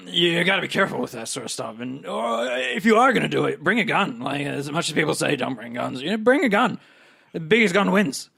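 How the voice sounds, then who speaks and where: A man's voice: a little high, vocal fry, sharp tone, exaggerated voice. A man playing a brash, cocky morning radio host who preaches and brags in rambling, emphatic improvised patter.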